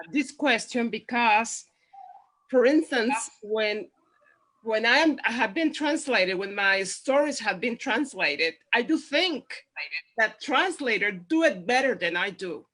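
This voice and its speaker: This is a woman writer putting a question to the panel. Her voice is husky and a little high, and she rephrases carefully as she works toward what she wants to ask. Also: expressive, slow pace, fairly bright tone, rough recording.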